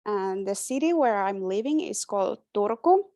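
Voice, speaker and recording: A woman's voice: medium pitch, expressive, normal speed, mellow, no room sound, studio quality. A woman in her twenties from Finland, whose first language is Finnish, giving an informal slide talk in a Finnish accent with many fillers and restarts. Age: 20s. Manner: hesitant, many fillers and restarts, light laughter. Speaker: presenter.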